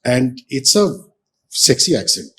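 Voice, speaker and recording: A man's voice: medium pitch, very animated, unhurried, fairly bright tone, husky. A man hosting a celebrity interview, effusive and full of compliments. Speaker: interviewer host.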